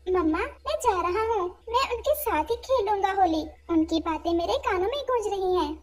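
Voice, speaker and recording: A tender-hearted cartoon chick, a little boy bird, played by a woman. The voice is extremely high and animated, with an eager, earnest, childlike way of talking. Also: normal speed. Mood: earnest, remorseful.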